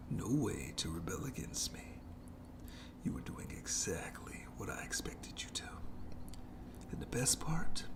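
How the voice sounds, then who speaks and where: A performer voicing a sadistic, gloating monster in a creaky whisper for horror ASMR, with slow, theatrical, mockingly polite menace. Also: deep voice, heavily acted. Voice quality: breathy, heavily creaky whispery voice. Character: gloating sadistic monster jailer lurking under the bed. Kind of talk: scripted horror ASMR roleplay.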